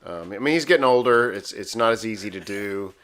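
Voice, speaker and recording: A man's voice: lowish voice, animated, brisk, fairly bright tone. A man of about fifty chatting casually as a co-host, with a self-deprecating way of talking. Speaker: podcast co-host. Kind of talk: casual chat.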